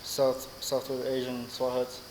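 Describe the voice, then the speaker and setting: A man's voice: medium pitch, monotone, a little slow, big-room sound, background noise. A man from Okinawa reading announcements and answering interview questions politely and formally. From Okinawa, Japan. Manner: polite, formal, says sir. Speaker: sailor being interviewed.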